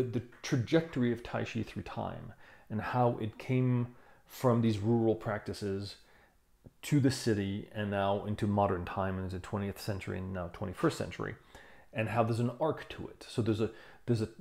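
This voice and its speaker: A man in his fifties giving a casual explanation to camera, thoughtful and halting, stressing key words. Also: on the low side, vocal fry, darkish tone. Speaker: tai chi teacher reviewing a book. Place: small room indoors. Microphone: lapel mic.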